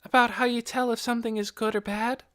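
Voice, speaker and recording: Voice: mid-range voice, little pitch movement, fast talker, fairly bright tone, pitched above the performer's own voice, lightly acted. A narrator voicing an earnest, inquisitive young girl with an insistent, questioning manner in an audiobook. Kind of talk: audiobook character dialogue.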